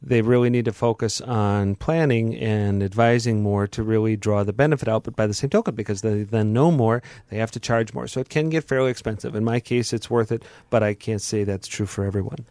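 Male advice host, calm and explanatory, dry studio voice. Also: fairly low pitch, no room sound. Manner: measured, explanatory, dry humor. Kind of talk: radio advice talk.

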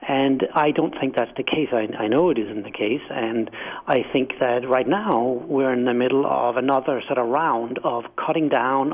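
A man's voice: mid-range voice, vocal fry. Man, Danish accent, radio interview guest, thoughtful, restarts often, tinny phone line. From Denmark. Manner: thoughtful, many restarts and fillers. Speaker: guest author.

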